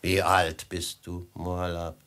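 An actor playing a falsely courteous desert robber chief with a husky voice, unctuous and flowery, in a 1950s German radio drama. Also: male voice, low-pitched, monotone, takes it slowly, a bit bright. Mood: sententious, measured. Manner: unctuous, flowery, mock-friendly, theatrical.

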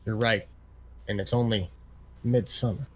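A man's voice: lowish voice, fairly even intonation, unhurried. A man playing an easygoing farm equipment dealership salesman in casual, rambling improvised game role-play. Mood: casual, businesslike.

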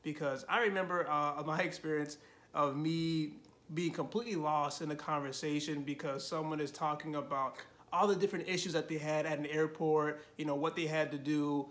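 A man in his thirties hosting a language-learning channel, giving casual tips to camera from his living room couch, animated and full of "you know" fillers. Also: on the high side, normal speed. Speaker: Spanish learning channel host.

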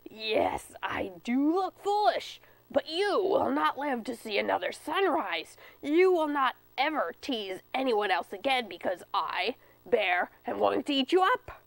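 A woman voicing a huge grumpy male bear who chants slowly and growls whispered threats. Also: high-pitched, sing-song, creaky, no echo, heavily acted, low-quality recording. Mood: menacing.

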